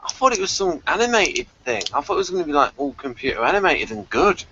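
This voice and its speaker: A man hosting a casual TV review chat, halting and repeating himself as he goes, on a lo-fi home recording. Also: high-pitched, sing-song, background noise. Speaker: podcast review host.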